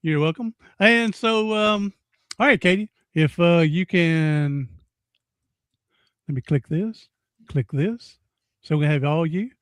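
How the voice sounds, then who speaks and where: A man's voice: a little high, slow pace, long pauses, clear, resonant tone, no echo. A man in his sixties hosting a podcast interview, folksy and rambling, often repeating himself. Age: 60s. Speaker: podcast host. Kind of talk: casual interview chat.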